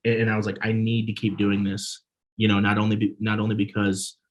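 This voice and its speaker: Enthusiastic young man, low voice. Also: monotone, brisk. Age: young adult. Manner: enthusiastic, stammering. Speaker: student singer panelist. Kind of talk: panel discussion.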